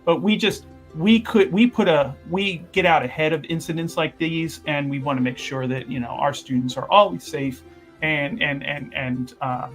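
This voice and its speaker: A man acts out a flustered university official in a tabletop game, with a fairly high voice. He is deferential and eager to placate, stumbling through formal apologies and courtesies. Faint music plays behind him. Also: a bit bright. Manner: halting, deferential, over-apologetic, formal wording. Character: flustered, obsequious male university representative smoothing over trouble. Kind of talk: improvised tabletop roleplay.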